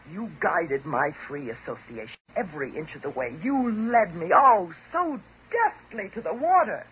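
An actress playing a bitter, wounded divorced housewife, sarcastic and dramatic, in the style of 1950s radio drama. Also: female voice, medium pitch, close to an everyday voice. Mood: accusing. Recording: muffled, noisy 1950s radio recording.

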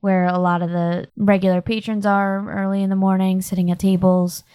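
A woman's voice: medium pitch, little pitch movement, normal speed, mellow, smooth, no room sound. Casual woman running a tabletop game. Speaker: game master.

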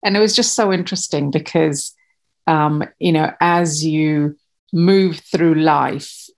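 A woman's voice: sits low, slow pace, creaky. A woman in her fifties from Malawi, a podcast guest talking about her family, hesitant with many fillers and restarts. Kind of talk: casual interview chat.

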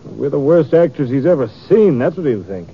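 An actor playing a gloomy, nervous, failing travelling stage player in a 1950s radio western, speaking hesitantly. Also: male voice, medium pitch, sing-song, brisk. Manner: hesitant, stammering. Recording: muffled, noisy old radio recording.

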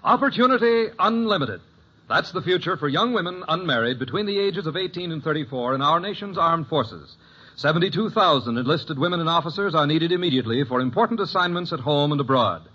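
Lively, high-voiced American radio announcer man. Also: fairly quick, slightly rough. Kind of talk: 1950s radio announcing, scripted narration. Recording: muffled old radio broadcast recording.

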